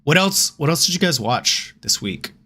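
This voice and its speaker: A man's voice: fairly high pitch, big pitch swings, moderate pace, sharp tone. A man hosting a casual chat show about video game films, joking around in loose slang. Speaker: show host.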